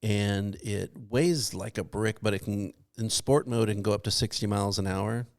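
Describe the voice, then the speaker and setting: Man, slightly low voice, casual podcast co-host, matter-of-fact, dry humor. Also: steady tone, moderate pace, gravelly, darkish tone. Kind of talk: casual chat, explaining a hobby.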